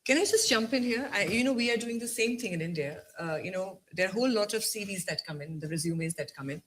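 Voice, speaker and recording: A man's voice: sits high, quick pace, fairly bright tone. A man on a business panel who jumps in eagerly and fills his speech with fillers. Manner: eager, interjecting, uses many fillers. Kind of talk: panel discussion. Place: conference stage.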